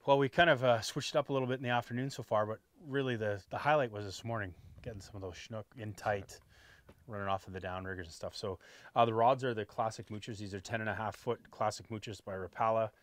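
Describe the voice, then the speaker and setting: A man's voice: fairly low pitch, quick pace, no echo. A man in his forties hosting a fishing show, chatting casually about his gear outdoors on a boat with a lapel mic. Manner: relaxed and chatty, tags lines with "right?".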